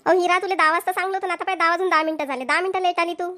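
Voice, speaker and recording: A bossy, punctual village woman who leads a farm gang in a cartoon comedy, voiced by a woman. She chides and hurries in a high voice with a Varhadi Marathi accent. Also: steady tone, rapid-fire, sharp tone, faint music behind. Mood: impatient, chiding. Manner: chiding, hurrying.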